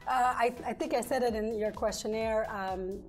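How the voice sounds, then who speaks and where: A woman's voice: on the high side, fairly even intonation, normal speed. Interview guest: woman, middle-aged, from Lebanon, Arabic and French native. Conversational storyteller who acts out bits of dialogue, faint music underneath. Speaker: interview guest, engineer turned small business owner.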